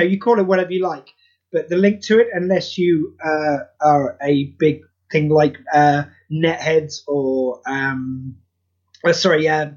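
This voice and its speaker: Hesitant man from the UK, dull audio. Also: on the high side. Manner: hesitant, many fillers, self-mocking. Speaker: guest, audio drama writer. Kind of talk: casual chat.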